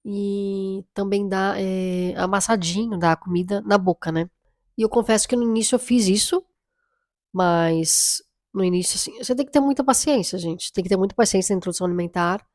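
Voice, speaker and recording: A hoarse woman, talking casually about motherhood while getting over a cold, chatty and reflective. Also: medium pitch, fairly quick, darkish tone, no room sound, studio quality. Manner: chatty, reflective, often tags lines with "right?" and "you know?". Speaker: mother vlogger. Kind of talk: casual chat to camera.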